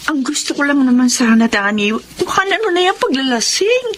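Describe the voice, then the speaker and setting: A woman's voice: high voice, very animated, moderate pace, background noise. A woman playing a worried, nagging wife, reproachful and exasperated, in a Tagalog radio drama.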